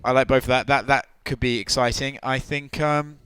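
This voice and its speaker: A man's voice: mid-range voice, fairly even intonation, normal speed, pushed and bright, smooth. A tipsy man chatting loosely as a podcast guest, laughing often and swearing freely. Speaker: podcast guest, media personality. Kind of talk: casual podcast chat.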